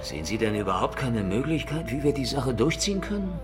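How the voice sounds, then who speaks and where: Animated, argumentative ex-con, played by a man. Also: mid-range voice, brisk, music in the background, lightly acted. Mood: frustrated, pressing. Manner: emphatic, argumentative. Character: hot-headed, defensive male ex-convict pitching a heist. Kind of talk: crime radio drama acting.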